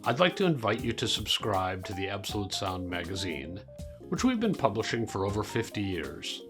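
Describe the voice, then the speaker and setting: A man delivering a scripted subscription pitch as a magazine executive. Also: sing-song, normal speed. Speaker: magazine executive making a promo. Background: music.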